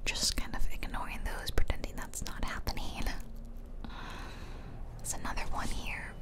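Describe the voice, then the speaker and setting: A woman softly whispering playful, chatty ASMR commentary over a video game, close to the mic. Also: normal speed. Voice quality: soft breathy whisper. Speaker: gaming host. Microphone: close stereo mic, ear-to-ear.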